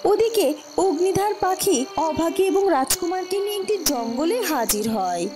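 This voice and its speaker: High, lively woman storyteller. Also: moderate pace, over music. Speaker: narrator. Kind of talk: scripted storybook narration for a cartoon.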